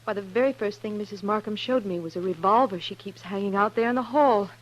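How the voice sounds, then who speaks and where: A woman's voice: medium pitch, fast talker, lo-fi sound, close to an everyday voice. An actress playing an earnest, anxious, sheltered young woman in a 1950s radio western, polite and given to exclaiming. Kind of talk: 1950s radio drama acting. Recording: humming, noisy 1950s radio recording.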